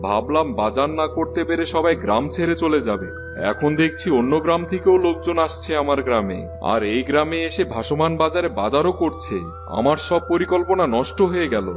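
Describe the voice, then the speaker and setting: A man's voice: on the high side, animated, fairly quick, music in the background, close to an everyday voice. A man voicing a grumpy, scheming landlord in a cartoon fairy tale, speaking in a haughty, brusque way. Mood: brooding, scheming. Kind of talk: Bengali cartoon villain acting.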